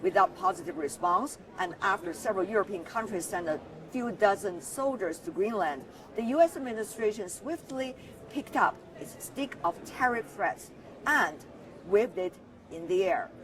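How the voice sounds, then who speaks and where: A woman's voice: medium pitch, expressive, normal speed, a bit bright, distant mic, poor audio. A woman news host delivering scripted narration in a news report.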